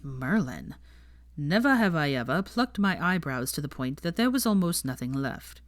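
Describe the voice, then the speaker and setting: A woman's voice: low-pitched, big pitch swings, moderate pace, no echo. A woman voicing a haughty, sardonic young male wizard with a drawl in a story reading. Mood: dry.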